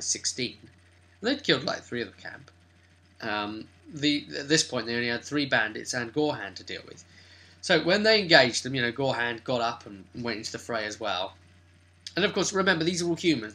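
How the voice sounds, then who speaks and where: A man's voice: mid-range voice, pushed and bright. A man hosting a vidcast as game master, casually recapping a tabletop role-playing session and explaining it emphatically, straight to his viewers.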